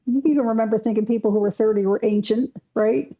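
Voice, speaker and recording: A woman in her thirties co-hosts a podcast, talking calmly and plainly. Her voice sits slightly high, and her explanations stay matter-of-fact. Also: fast talker, lo-fi sound. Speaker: podcast co-host. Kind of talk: casual chat.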